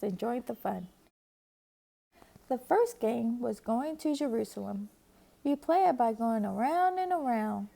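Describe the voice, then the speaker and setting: A woman reading a children's picture book aloud with expressive, lively narration. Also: fairly high pitch, creaky, rough recording. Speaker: storybook reader.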